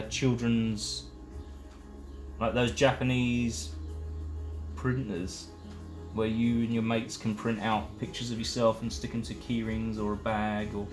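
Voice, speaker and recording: A man chatting casually on a live stream while painting and building a case, rambling and self-deprecating as he works. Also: lowish voice. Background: faint music.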